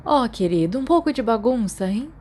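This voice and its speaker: A woman voicing a kindly school matron, motherly and gently scolding, in a story. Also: on the high side, expressive, moderate pace, voice pushed up. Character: kindly, fussing school matron, a witch healer.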